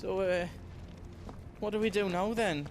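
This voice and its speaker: An actor playing a nervous, chatty merchant in a game cutscene. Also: male voice, high voice, measured pace, slight background noise. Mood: anxious. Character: nervous chatty male merchant, young-ish. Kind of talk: video game cutscene acting.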